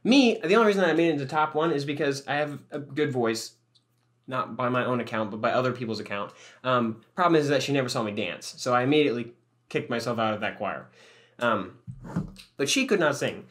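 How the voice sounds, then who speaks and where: A man's voice: medium pitch, a little fast. A young man co-hosting a podcast, telling stories in a rambling, joking way and swearing often. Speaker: podcast co-host. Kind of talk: casual chat.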